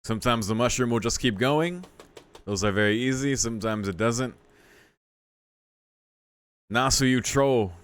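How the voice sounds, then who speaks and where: A man's voice: medium pitch, unhurried, long pauses, clean tone, no room sound. A man doing casual gameplay commentary as a video host, reacting with exclamations and mock exasperation.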